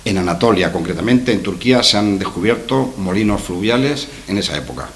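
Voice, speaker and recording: A man's voice: sits low, animated, a little fast, a little hiss or hum. Man from La giving a talk. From La Mancha, Spain. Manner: hesitant, repeats words, tags asides with "¿verdad?". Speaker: history lecturer. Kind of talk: conference talk. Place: conference room with a projection screen.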